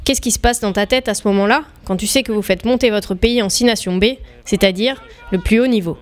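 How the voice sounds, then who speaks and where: A woman's voice: medium pitch, fairly quick. A woman interviewer reading prepared introductions and questions.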